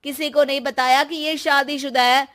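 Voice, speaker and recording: A woman's voice: high voice, fairly even intonation, normal speed, a bit bright. A woman playing a mocking, gossipy young female classmate in a cartoon story, with a taunting, sneering delivery. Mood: scornful. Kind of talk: dramatized Hindi moral story cartoon.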